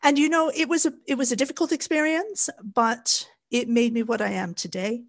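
A middle-aged woman from Canada with a Canadian accent, co-hosting a podcast in a measured storytelling way with frequent fillers. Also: fairly high pitch, steady tone. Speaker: podcast co-host. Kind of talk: casual podcast chat.

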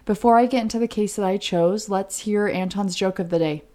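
A woman's voice: medium pitch, brisk, warm tone. A woman co-hosting a podcast, telling a true-crime story in a conversational way. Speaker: podcast co-host.

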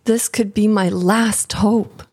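A woman playing a frail, devoted Roman noblewoman with a tremulous, halting delivery in an audio drama. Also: medium pitch, fairly even intonation, darkish tone, breathy edge. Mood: earnest, hopeful.

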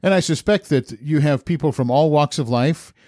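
A man's voice: a little high, moderate pace, darkish tone, no room sound, studio quality. An older man hosting a podcast, speaking in a conversational interview style. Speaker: podcast host.

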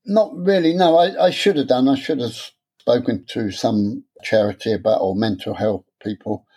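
A man, talking candidly in an interview, halting and repeating words as he speaks. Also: mid-range voice, darkish tone, slightly rough. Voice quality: stammer. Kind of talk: personal interview.

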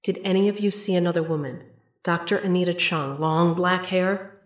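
A woman playing a bold investigator leading a rescue in an audio drama, brisk, commanding and reassuring. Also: on the low side, steady tone, close to an everyday voice. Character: bold, take-charge female investigator on a rescue. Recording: echoey room with clear background noise.

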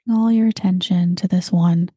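A woman guiding a meditation, talking slowly and calmly and at times dropping into breathy whispers. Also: lowish voice, dry recording. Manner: slow, soft and calm, drifting into breathy whispers. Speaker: meditation guide. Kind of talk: guided meditation.

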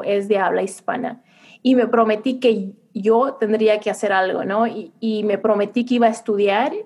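A woman's voice: on the high side, a little mellow. A middle-aged woman who is a psychologist and coach, telling her story in a reflective, earnest way during an interview. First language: Spanish. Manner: earnest and reflective, with frequent restarts. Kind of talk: conversational interview, personal storytelling.

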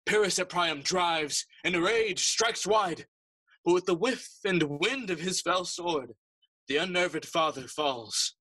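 A bright, forceful tragic player. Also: male voice, high-pitched, measured pace, no echo, exaggerated voice. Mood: tragic, impassioned. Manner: grand, theatrical. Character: impassioned travelling tragic player reciting an epic. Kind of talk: declamatory bilingual classical verse for audio play.

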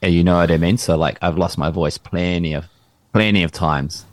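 A man talks casually as a podcast guest. His voice sits very low and the recording is dry and close. He rambles and repeats himself as he hunts for words. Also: steady tone, normal speed, no echo. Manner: rambling, repeats words while searching for them. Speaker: band vocalist, podcast guest. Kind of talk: casual chat. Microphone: in-ear monitors with podcast mic.

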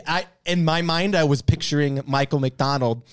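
A man's voice: on the high side, moderate pace, smooth, dry recording, clean studio sound. A man co-hosting in casual comic banter, opinionated and playfully combative. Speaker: podcast co-host. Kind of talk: casual comic banter in a mock sports draft. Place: theatre company space used for filming and recording, dry sound.

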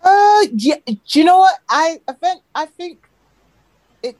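A woman with a British accent from England, a lively guest telling stories in a casual interview and acting out the voices of the people she quotes. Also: extremely high pitch, takes it slowly, no room sound. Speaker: guest, animator.

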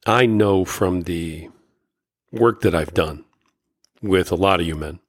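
Middle-aged male podcast host, deep voice, dry close sound, slight rasp, folksy. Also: takes it slowly, no room sound. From Indiana, USA. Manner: folksy, earnest, many fillers and restarts. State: slightly raspy voice. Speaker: podcast host and life coach. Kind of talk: casual solo podcast talk.

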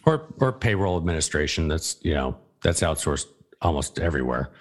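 Male host, extremely deep voice, folksy and conversational. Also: lively intonation, brisk. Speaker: podcast host. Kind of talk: conversational interview.

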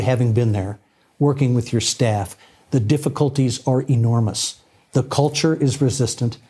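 Man, mid pitch, measured, earnest, interview host. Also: a little mellow, breathy edge. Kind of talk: broadcast interview.

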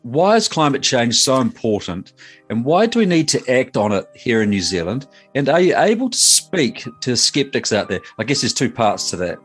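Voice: medium pitch, sing-song, moderate pace, slightly rough, soft background music. An interviewer asking short, polite questions. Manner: polite, brief prompts.

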